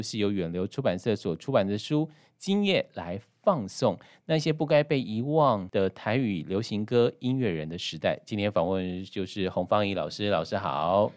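Deep-voiced Taiwanese man hosting an interview. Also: a little mellow. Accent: Taiwanese Mandarin. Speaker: podcast host and interviewer. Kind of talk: conversational book interview.

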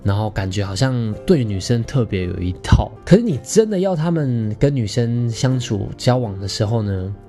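A man's voice: medium pitch, animated, moderate pace, hardly any pauses, mellow, faint music behind. A man in his thirties with a Taiwanese Mandarin accent, hosting a podcast and telling a personal story in a theatrical, playful way. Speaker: podcast host.

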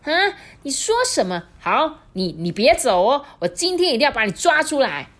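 Shrill, loud, jealous old goddess scolding her husband, big swings up and down, in a storybook voiced by a woman. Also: very animated, a little slow. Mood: furious.

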